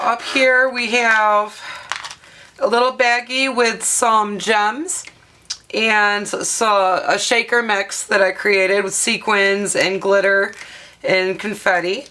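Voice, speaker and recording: A woman with a hoarse, half-lost voice, chatting through a craft tutorial at her table and showing items with plenty of ums and uhs. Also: mid-range voice, pushed and bright, slight background noise. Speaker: craft vlogger. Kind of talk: casual show-and-tell craft tutorial.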